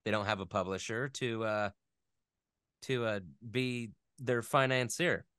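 Smooth, dry-sounding man chatting casually. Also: medium pitch, unhurried, fairly bright tone, no echo. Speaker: interview guest, voice actor. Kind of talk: casual chat.

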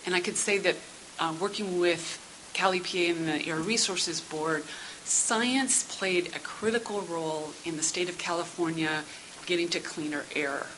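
A middle-aged woman giving prepared comments at a public council meeting in a measured, businesslike, formal but conversational way. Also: fairly low pitch, fairly bright tone, low-quality recording. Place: indoor meeting room. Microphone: gooseneck table microphone. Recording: hissy meeting-room sound.

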